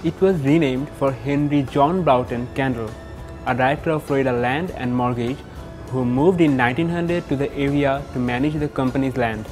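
A man's voice: medium pitch, normal speed, a little mellow, faint music behind. Young man from Bangladesh reading a report. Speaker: student reporter. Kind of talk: scripted narration.

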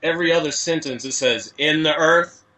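Man from the USA, interview guest, rambling casual delivery, bright timbre, set back from the mic. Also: on the high side, moderate pace. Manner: rambling, many "you know" fillers, wordplay explanations. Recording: distant from mic.

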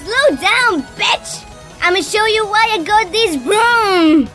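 A woman's voice: very high-pitched, animated, slow, over music, exaggerated voice. A woman voicing a brash, foul-mouthed young witch with sassy, slangy delivery in a parody cartoon. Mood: brash, mocking. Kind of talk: animated parody cartoon acting.

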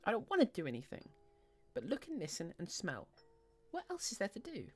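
Woman playing high-voiced, defiant young boy. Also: huge pitch swings, a little fast, husky, faint music behind. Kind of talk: dramatic audio short story reading.

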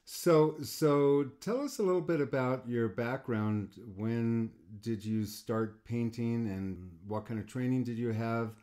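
A man's voice: medium pitch, unhurried, few pauses, dark tone. Middle-aged man hosting an art podcast. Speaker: podcast host, art coach. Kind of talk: interview conversation.

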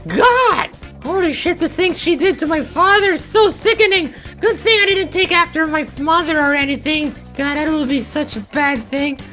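A woman gives casual let's play commentary in a very high voice. She is jokey and exclamatory and swears freely, with some music behind her. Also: normal speed, pushed and bright. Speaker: gaming video host. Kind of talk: casual let's play commentary, partly playing along in character.

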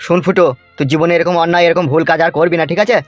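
Man as fast, high-pitched peacemaking village uncle. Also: flat delivery, lightly acted. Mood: coaxing. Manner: coaxing, advising. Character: peacemaking older village uncle, henpecked husband. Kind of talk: comic cartoon dialogue. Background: faint music.

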